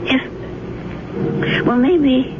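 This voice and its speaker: An actress playing a fretful but determined old landlady in a radio mystery drama, speaking haltingly and repeating herself. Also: high-pitched, heavy background noise. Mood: anxious, musing. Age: older. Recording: dull lo-fi radio drama, music behind.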